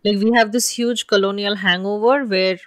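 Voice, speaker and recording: A woman's voice: mid-range voice, steady tone, moderate pace, no echo, studio quality. A woman with an Indian accent, hosting a casual conversation. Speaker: podcast host.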